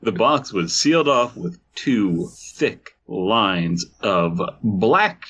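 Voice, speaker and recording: Man, comedy podcast co-host, halting reader with a lively, up-and-down delivery and crude asides. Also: on the low side, breathy edge. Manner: crude jokes, halting reading. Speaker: podcast co-host reading a story aloud. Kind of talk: casual comedic reading with riffing.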